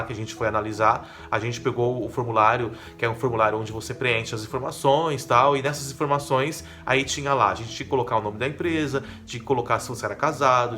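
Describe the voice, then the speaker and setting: Chatty man from São Paulo. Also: medium pitch. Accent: São Paulo Brazilian Portuguese. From São Paulo, Brazil. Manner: chatty, addresses viewers, frequent tag questions. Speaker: vlogger on living in Portugal. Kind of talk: casual talk to camera. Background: soft guitar music.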